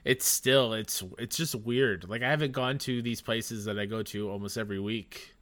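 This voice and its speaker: A man's voice: lowish voice, fairly even intonation, normal speed, a bit bright. A man hosting a podcast who asks friendly, slightly hesitant questions with fillers. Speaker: podcast host. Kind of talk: casual interview.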